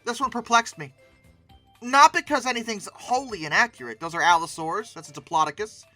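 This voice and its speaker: An expressive, emphatic host giving casual reaction commentary, often breaking into exclamations. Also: mid-range voice, brisk, soft background music. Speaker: host of a paleoart commentary channel.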